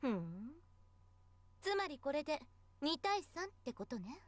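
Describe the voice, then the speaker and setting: A woman's voice: sits high, very animated, brisk, dry recording. A woman voice actor playing a haughty, scornful teenage schoolgirl in a Japanese visual novel, mocking and condescending.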